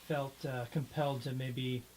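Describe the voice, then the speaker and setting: A man's voice: mid-range voice, little pitch movement, normal speed, a little mellow. A man interviewing for a folklore project, repeating words as he works out his questions. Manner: hesitant, repeats words while framing questions. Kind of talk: oral history interview.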